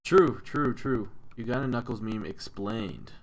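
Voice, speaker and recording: A man's voice: medium pitch, a little slow. A young man hosting a casual podcast chat, joking around, rambling and laughing often. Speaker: podcast host.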